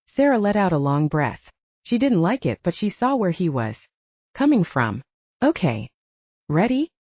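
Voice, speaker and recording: A woman's voice: fairly low pitch, sing-song, normal speed, darkish tone, no echo, dull audio. A woman narrating an audiobook novel in a scripted storytelling style.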